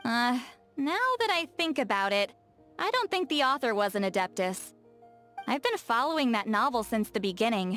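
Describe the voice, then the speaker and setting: A woman voice acting a touchy, lazy, bookish young-seeming shaman in a video game, expressive and defensive, with hesitant asides and self-corrections. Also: on the high side. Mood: bookish, musing. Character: touchy, lazy, bookish female shaman, youthful but secretly very old.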